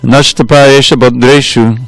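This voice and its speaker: A man leading a devotional scripture class, reciting opening prayers slowly and in a measured way into a room microphone in a temple. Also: mid-range voice, steady tone, creaky, low-quality recording. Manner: loud voice. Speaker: class speaker reading scripture. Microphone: room microphone with adjustable volume.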